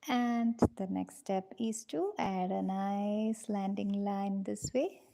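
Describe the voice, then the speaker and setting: A woman leads a live drawing class at an unhurried, careful pace. Her mellow voice carries a gravelly edge, and she stays gentle and patient, calling her students dears. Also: fairly even intonation. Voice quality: low-pitched voice, in her own words. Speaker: drawing teacher.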